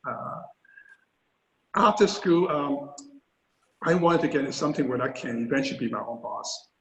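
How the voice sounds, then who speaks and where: Older man, gravelly voice, candid, self-deprecating, filler-heavy, roomy echo. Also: mid-range voice, expressive, moderate pace, background noise. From Hong Kong. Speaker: interview guest. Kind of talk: storytelling in a panel conversation. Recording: roomy, dull lo-fi sound.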